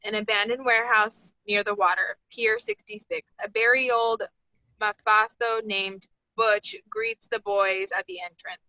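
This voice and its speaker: A woman's voice: medium pitch, fairly even intonation, unhurried, no room sound. A woman narrator reading screenplay stage directions aloud at a table read. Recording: dull, dry lo-fi audio.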